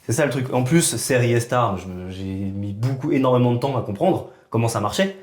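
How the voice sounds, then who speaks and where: A man co-hosting a podcast, telling a personal story in relaxed, casual conversation full of fillers. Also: medium pitch, moderate pace, reverberant. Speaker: podcast co-host. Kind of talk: casual chat.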